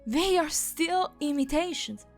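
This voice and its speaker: A woman's voice: high voice, lively intonation, measured pace, faint music behind. A woman playing a haughty, easily offended goddess of natural life in a fantasy audio drama, formal and accusatory.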